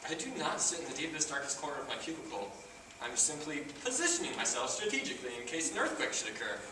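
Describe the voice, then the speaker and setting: A man's voice: fairly high pitch, very animated, quick pace. A man playing an uptight, socially awkward man in a stage comedy, defensive and stiff, with precise wordy phrasing. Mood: defensive, indignant. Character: Steve, uptight socially awkward man guarding his personal space. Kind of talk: stage comedy acting, projected. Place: theater stage, recorded from the audience distance. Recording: echoey theater, far mic, noisy.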